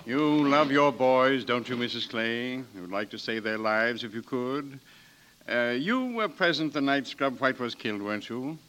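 An actor playing a pompous, insistent prosecutor in a 1940s radio drama, with formal, oratorical speech. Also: male voice, mid-range voice, normal speed, a bit bright, slight background noise, close to an everyday voice, low-quality recording. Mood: coaxing pressure. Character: pompous, pressing male courtroom prosecutor.

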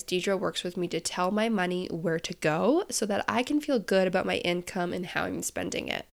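A woman's voice: lowish voice, creaky, a bit bright. A young woman hosting a casual podcast, chatty and upbeat with frequent laughs, sounding stuffed up and nasal from a head cold. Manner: chatty, upbeat, self-deprecating, laughs often. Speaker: podcast host.

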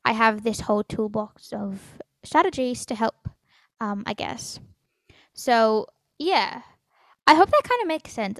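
A teenage girl hosting her own podcast, talking in a halting way with stutters, fillers and restarts, often trailing off quietly. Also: fairly high pitch, dry recording. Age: teens. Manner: soft, trails off quietly at phrase ends. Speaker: podcast host. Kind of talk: solo personal podcast.

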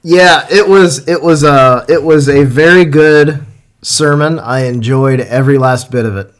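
Male co-host from Ohio, Midwestern accent, relaxed and rambling. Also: on the high side, unhurried. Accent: Ohio, Midwestern American. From Ohio, USA. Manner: easygoing, rambling, lots of "like". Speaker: podcast co-host. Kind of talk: casual podcast chat.

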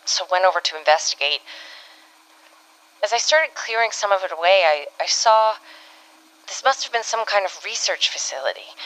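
A woman's voice: fairly low pitch, a bit bright, clean tone, low-quality recording. A woman playing a weary time-travelling agent, recording a hesitant field log in an improvised audio drama. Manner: halting, hesitant, searching for words.

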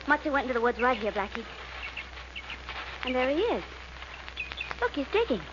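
A woman's voice: high voice, big pitch swings, normal speed. An actress playing a spirited, inquisitive young woman, a detective's companion, in a 1940s radio drama. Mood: curious. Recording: muffled, very noisy old radio recording.

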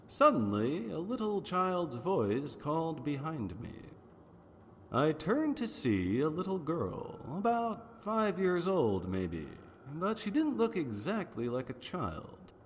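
A man's voice: on the high side, long reverb tail, slight background noise, heavily acted. A man playing a wry, wisecracking private detective, narrating in the style of a 1940s noir radio drama. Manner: wry, deadpan.

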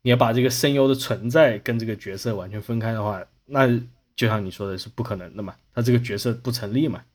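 A man's voice: medium pitch, fast. A man in casual panel chat as a podcast host, explaining things and often correcting and repeating himself.

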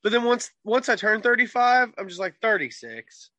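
A man's voice: sits high, big pitch swings, moderate pace, pushed and bright, no room sound. A man in his thirties, a podcast co-host chatting casually, loose and joking, swearing freely. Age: 30s. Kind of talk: casual chat.

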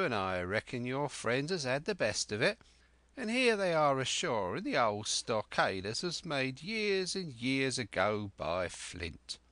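A man voicing a half-wild old male castaway who speaks in a rough sailor's dialect, eager and cunning. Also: mid-range voice, sharp tone, no room sound, voice pushed up, full character voice.

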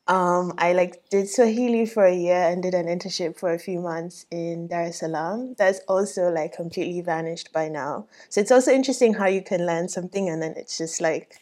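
A woman's voice: on the low side, fairly quick, few pauses, darkish tone. A woman from Ghana in casual, self-deprecating conversation. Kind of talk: casual chat.

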